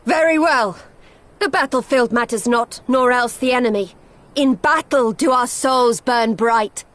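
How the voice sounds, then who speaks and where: Bold high-voiced warrior woman. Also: measured pace, fairly bright tone. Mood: proud, challenging. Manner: formal, declarative. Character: proud battle-loving female steppe tribe warrior, bold and blunt. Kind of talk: archaic fantasy game dialogue.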